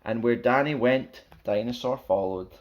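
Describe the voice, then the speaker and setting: Male storyteller for a children's picture book: animated rhyming read-aloud, playful, theatrical, mid-pitched. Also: normal speed, muffled recording. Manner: playful, theatrical, sing-song rhythm.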